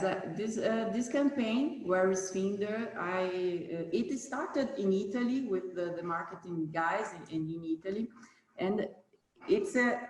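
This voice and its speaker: A Brazilian woman, a native Portuguese speaker, answering interview questions about a company in halting English, searching for words. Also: mid-range voice, echoey room, slight background noise, poor audio. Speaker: company manager being interviewed.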